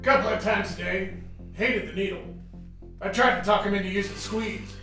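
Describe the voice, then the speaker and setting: A man's voice: sits high, fairly even intonation, moderate pace, pushed and bright. A man acting a plain-spoken townsman, distant and echoing. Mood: subdued. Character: plain-spoken small-town old-timer named Mister Jackson. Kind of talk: radio drama acting. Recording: distant, very echoey, faint music.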